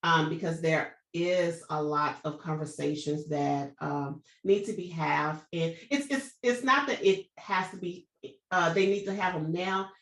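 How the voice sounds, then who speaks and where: A woman hosting a podcast talks in a somewhat low voice, recorded in an echoey room. She reads a guest introduction and then chats loosely, stumbling over words and restarting her sentences. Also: unhurried, a bit bright. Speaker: podcast host. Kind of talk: reading a guest introduction, then casual interviewing.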